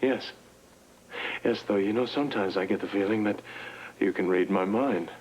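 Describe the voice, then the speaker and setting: Actor as a reserved, polite man, lowish voice, faint hiss. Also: moderate pace, a little mellow, lightly acted, rough recording. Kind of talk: 1980s TV soap acting.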